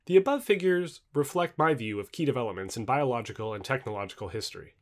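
A man reading scripted nonfiction as an audiobook narrator, with formal, measured enunciation. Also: mid-range voice, animated.

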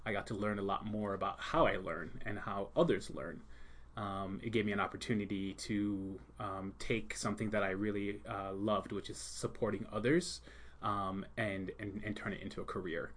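Soft-spoken low-voiced man, unhurried. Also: steady tone. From Jamaica. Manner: soft-spoken, many ums, thoughtful pauses. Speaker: school leader and art teacher. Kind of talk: casual podcast interview.

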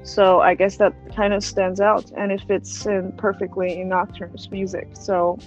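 A woman whose first language is Chinese, speaking English as a singer in a casual interview, hesitant and soft-spoken. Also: medium pitch, little pitch movement, unhurried, highs cut off, faint music behind.

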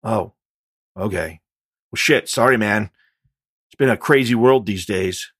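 A man's voice: lowish voice, measured pace, fairly bright tone, breathy edge, no echo, studio quality, pitched above the performer's own voice. A man voicing a jittery, suspicious middle-aged eccentric in audiobook dialogue, rambling in a coarse, casual way. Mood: flustered, apologetic.

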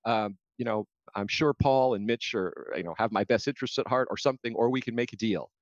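A man's voice: mid-range voice, dry recording. An American man speaking as an interview guest in a political debate, arguing his points and often restarting as he rebuts. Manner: argumentative, halting restarts when rebutting. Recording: slightly dull, lo-fi audio.

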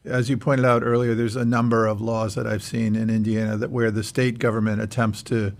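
A man's voice: lowish voice, flat delivery, brisk. A man hosting a radio interview in a conversational style, putting questions to a guest and commenting along the way. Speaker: radio show host.

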